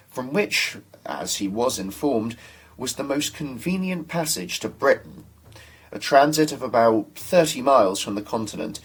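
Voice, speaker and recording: A young man giving a dramatic reading of a historical text, formally and deliberately, phrase by phrase. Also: mid-range voice, breathy edge. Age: young adult. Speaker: student reader.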